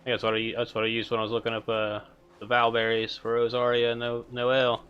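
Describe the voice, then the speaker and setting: A male streamer giving relaxed, rambling gameplay commentary and chatting with viewers. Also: medium pitch, fast talker, clear, resonant tone, highs cut off, faint music behind.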